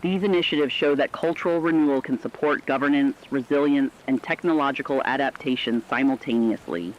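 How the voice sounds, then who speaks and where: A man playing an earnest radio correspondent, reading scripted news in a formal, measured way. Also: medium pitch, close to an everyday voice. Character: earnest correspondent on an end-of-the-world radio broadcast. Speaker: co-anchor in a fictional radio broadcast. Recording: dull lo-fi radio sound.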